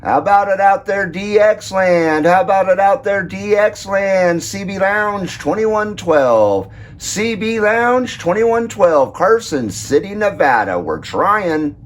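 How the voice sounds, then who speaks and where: A man's voice: high-pitched, lively intonation, slow. A man working a CB radio from his base station, stretching out his repeated calls and then pointing out the radio's features. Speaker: CB radio hobbyist. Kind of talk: calling out on CB radio and showing off a radio. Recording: loud radio static behind voice.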